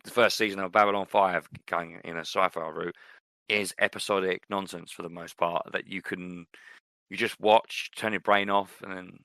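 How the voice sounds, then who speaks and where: A man with a very low, gravelly voice that still has a bright, sharp edge, co-hosting a casual podcast. He rambles and restarts as he talks through his opinions. The sound is dry and close. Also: steady tone, normal speed, no room sound. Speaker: podcast co-host. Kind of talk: casual chat.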